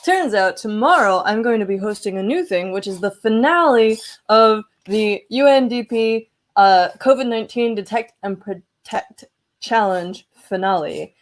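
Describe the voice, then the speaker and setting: A woman's voice: medium pitch, measured pace. A woman presents a tech vlog in a casual, conversational way. Her delivery is enthusiastic and exclamatory, with a mid-range voice for a woman. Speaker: live stream host.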